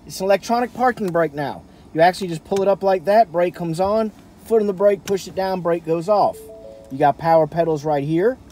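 High-voiced folksy man. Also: a little fast, mellow, faint music behind. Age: middle-aged. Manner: folksy, informal. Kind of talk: casual vehicle walkaround. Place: inside a pickup truck cab in a parking lot. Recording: camera audio with cabin noise.

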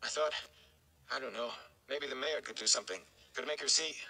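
A man's voice: medium pitch, brisk, gravelly, sharp tone, voice pushed up, low-quality recording. A man voicing an anxious, desperate young village man with an eager, pleading manner in a storybook reading.

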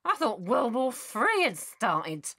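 Audio drama wife: English, high and piercing, theatrical, colloquial, dismissive and unsympathetic. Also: female voice, sing-song, slow, fairly bright tone, full character voice. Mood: exasperated, dismissive. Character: mocking, brassy, unsympathetic wife and mother.

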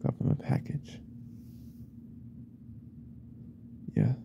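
A man playing a jealous, unhinged yandere boyfriend in an ASMR roleplay, speaking softly and often in whispers, intense and controlling. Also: brisk, lo-fi sound, a little hiss or hum, close to an everyday voice. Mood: quiet, menacing. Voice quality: soft, breathy, often whispered.

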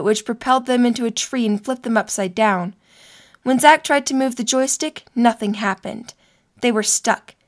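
A woman narrating a scripted story for an audio drama. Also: on the high side.

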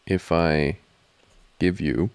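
A man performing a soft ASMR boyfriend roleplay, speaking in a halting, flustered way close to the microphone. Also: deep voice, no echo. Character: flustered, distracted studying boyfriend, teasing and affectionate. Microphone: close microphone, dry.

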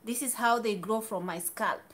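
A woman's voice: fairly high pitch, moderate pace, a bit bright. Chatty woman vlogging to camera. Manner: candid, chatty, with restarts and asides. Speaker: YouTuber. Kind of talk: casual talk to camera. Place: small room indoors.

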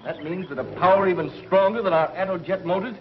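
An actor playing a thoughtful, grave scientist aboard a rocket in a 1950s television serial. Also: male voice, high-pitched, quick pace, natural voice. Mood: grave doubt. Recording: dull 1950s TV soundtrack, faint noise.

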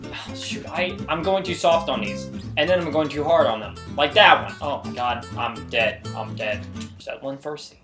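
A man's voice: a little high, normal speed, music in the background. A man giving casual, exclamatory gameplay commentary as a video host, often putting himself down. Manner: self-deprecating, exclamatory.

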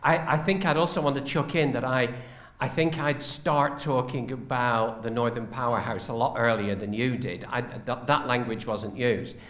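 Older northern man from the floor, rambling and restarting, mid pitch, roomy sound. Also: steady tone, normal speed, hardly any pauses, fairly bright tone. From north of England. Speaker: audience member. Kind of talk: audience question. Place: lecture theatre audience seating. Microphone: handheld mic. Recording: echoey lecture hall.

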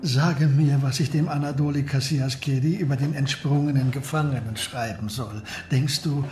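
A man's voice: mid-range voice, fairly even intonation, normal speed, a little mellow, soft background music, lightly acted. A man playing a devious, grudging Ottoman official in a radio play, hesitant and evasive, with little grunts. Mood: wheedling, uneasy. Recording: echoey room with background noise.

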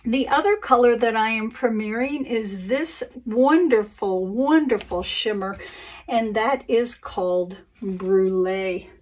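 A woman's voice: a little high, recorded from a distance, a little hiss or hum. A middle-aged woman hosting a casual acrylic pour painting video, chatting enthusiastically to viewers about her colors at her studio table. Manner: gushing and enthusiastic, calls viewers guys. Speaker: acrylic pour artist and channel host. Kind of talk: casual craft tutorial chat to viewers.

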